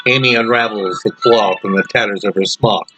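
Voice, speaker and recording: A man reading a literary story as its author in a dramatic narration style, with deliberate, set-apart phrasing. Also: lowish voice, steady tone. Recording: dull lo-fi sound under loud music. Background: loud music.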